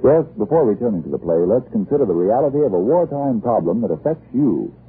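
A man with a husky voice, doing scripted 1940s radio announcing on a tinny recording. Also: medium pitch, very animated, quick pace.

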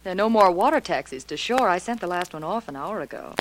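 A woman's voice: fairly low pitch, animated, fast, natural voice. An actress playing a cool, bold married woman gambler in a 1940s radio drama, self-assured and challenging. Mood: cool, confident. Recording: noisy 1940s radio recording.

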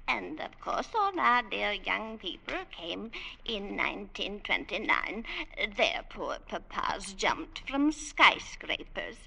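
Creaky, imperious old grande dame. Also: female voice, medium pitch, very animated, sharp tone, full character voice, low-quality recording. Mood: genteel, wistful. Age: older. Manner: haughty, affected, drawn-out delivery. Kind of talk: 1940s radio drama acting. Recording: vintage radio broadcast.

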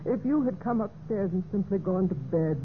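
An actress playing a betrayed, wistful but resolute wife in a measured, theatrical golden-age radio mystery style. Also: female voice, medium pitch, expressive, lightly acted. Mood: sorrowful, resolute. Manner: measured, deliberate, theatrical delivery. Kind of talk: 1940s-50s radio mystery acting. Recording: tinny, noisy old radio recording.

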